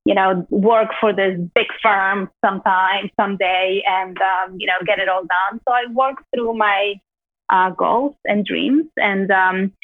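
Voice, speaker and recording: A woman's voice: medium pitch, dry recording. A woman from Peru with a Peruvian Spanish accent and Spanish as her first language, chatting as a guest with many fillers and little laughs. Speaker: guest, company founder. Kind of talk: casual interview. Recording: dry tinny phone-like line.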